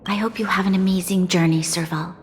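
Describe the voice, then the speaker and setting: A woman's voice: on the low side, fairly even intonation, fairly quick, close to an everyday voice. Gracious young female ruler by an actress, reverberant hall. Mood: kind. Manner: formal, polite, tactful. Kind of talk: video game voice acting. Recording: long hall reverb, music over the voice. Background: music.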